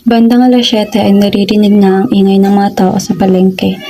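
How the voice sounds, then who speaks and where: A woman's voice: medium pitch, little pitch movement, moderate pace, heavy background noise. A woman narrating a scripted story for a radio drama. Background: loud music.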